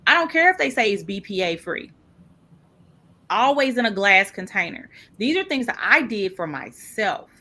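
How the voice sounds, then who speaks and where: Bright, animated woman telling her story. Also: medium pitch, normal speed. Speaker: guest. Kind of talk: casual interview talk.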